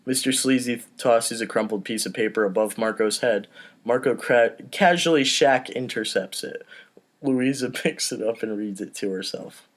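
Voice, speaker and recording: A man's voice: medium pitch, normal speed. A man narrating stage directions at an amateur table read in a casual, informal way. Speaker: podcast cast member reading stage directions.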